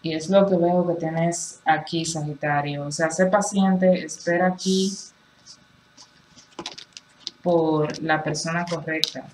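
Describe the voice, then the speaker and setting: Lower-voiced woman giving a chatty tarot reading. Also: fairly even intonation, measured pace, a little hiss or hum, low-quality recording. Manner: chatty, reassuring, lots of filler phrases. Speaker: tarot reader. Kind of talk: casual tarot reading to camera. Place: indoors at a table with cards on a cloth.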